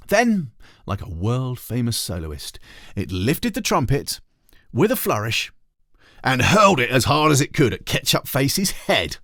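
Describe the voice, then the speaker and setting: A man, a teacher, reading a children's storybook aloud in an animated narrating style. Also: medium pitch, no room sound, studio quality.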